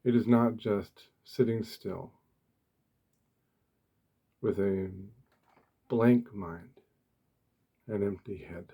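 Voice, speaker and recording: A man speaking softly and gently in short, deliberate phrases with pauses, as an ASMR host giving a meditation talk. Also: on the low side, dull audio. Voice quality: soft, hushed, breathy.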